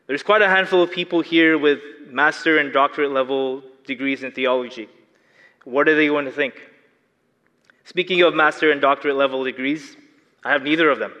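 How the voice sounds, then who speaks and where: A man's voice: on the high side, fairly even intonation, fairly quick, sharp tone. A young adult man from the Philippines with a Filipino accent and a slight lisp, preaching an earnest sermon and asking his congregation questions. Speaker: lay preacher. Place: large church hall. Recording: long hall reverb.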